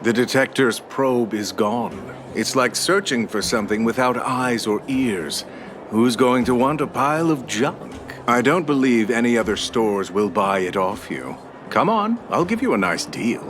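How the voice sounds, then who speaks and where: A man's voice: medium pitch, animated, a little slow, background noise, close to an everyday voice. An actor playing a crafty middle-aged male antiques appraiser in a video game, haggling in a wheedling manner. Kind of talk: English video game voice acting.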